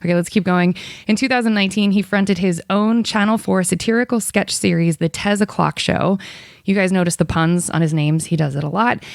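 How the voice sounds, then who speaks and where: A woman's voice: medium pitch, a little fast, creaky, no echo. Playful woman host, dry close sound. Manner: playful, teasing, hyping the guest. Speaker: podcast host. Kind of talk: reading a guest introduction, then casual chat.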